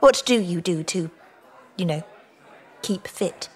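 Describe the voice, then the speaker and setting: A woman's voice: lowish voice, slow, slightly rough, some chatter behind. An actress playing a brash, teasing British woman of about forty in an audio drama, with a blunt, mocking, flirtatious manner. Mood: provocative. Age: middle-aged.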